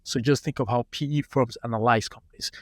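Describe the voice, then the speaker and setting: A man in his late thirties from Nigeria, telling relaxed stories as a founder in a casual podcast interview. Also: mid-range voice, a little fast, dry recording, studio quality. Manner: relaxed anecdotal storyteller. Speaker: startup founder, guest.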